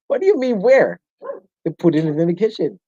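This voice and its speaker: Joking Jamaican man, husky and dull. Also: sits high, warm tone, dry recording. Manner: playful, joking, laughs often. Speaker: host of a live discussion. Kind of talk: casual live-stream chat. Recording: dull lo-fi sound.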